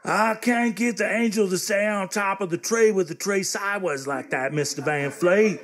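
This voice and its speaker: A man's voice: high-pitched, normal speed, fairly bright tone. An actor playing a naive, earnest teenage handyman with a hesitant, guileless manner in comic radio theatre. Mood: puzzled, sheepish. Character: dim, earnest, naive eighteen-year-old small-town handyman lad.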